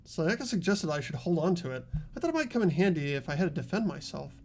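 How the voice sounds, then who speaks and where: A man's voice: on the high side, sing-song, quick pace, a little mellow. A man voicing an earnest teenage boy in a fan-dubbed mystery game, reasoning things out aloud. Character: earnest teenage boy student working out a murder.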